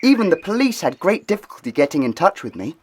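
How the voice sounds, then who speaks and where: A man's voice: sits high, big pitch swings, fast talker, slight background noise. An actor playing a worried young man, a devoted older brother, in a children's audio drama. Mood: concerned.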